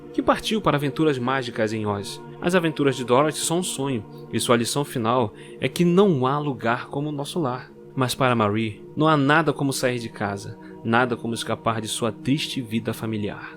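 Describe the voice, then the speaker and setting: Measured male storyteller over music. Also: mid-range voice. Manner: measured, dramatic. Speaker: narrator and producer. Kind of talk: scripted storytelling narration.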